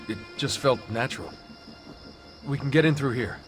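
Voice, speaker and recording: A man's voice: mid-range voice, lively intonation, normal speed, close to an everyday voice. An actor playing a young, uneasy but dry-witted man in a video game cutscene. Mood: focused. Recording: loud music underneath. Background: loud music.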